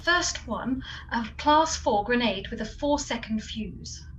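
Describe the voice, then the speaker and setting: A woman plays a loyal, bossy gadget expert in a comedy radio play. She speaks in a fairly high voice with brisk, emphatic, deadpan delivery over faint noise. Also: low-quality recording.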